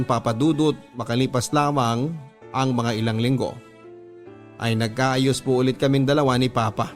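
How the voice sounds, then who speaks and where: A Filipino man tells a personal story in the style of a radio drama letter reading. His voice sits at a medium pitch, and his delivery is polite and earnest. Music plays clearly under his narration. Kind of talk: scripted first-person confessional storytelling. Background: background music.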